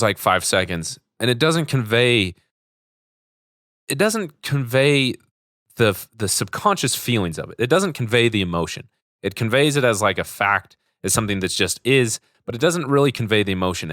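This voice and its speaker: A man's voice: lowish voice, a bit bright, no room sound, clean studio sound. A man with a cold hosting a casual solo podcast, rambling and gushing enthusiastically, often repeating himself. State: sick with a cold. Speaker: podcast host.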